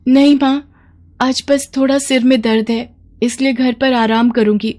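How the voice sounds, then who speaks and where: An eager, polite young schoolgirl from a children's storybook, acted out by a woman narrator. Her voice sits high and sounds earnest. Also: steady tone, unhurried, a little mellow. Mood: subdued. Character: eager, earnest dark-skinned schoolgirl runner.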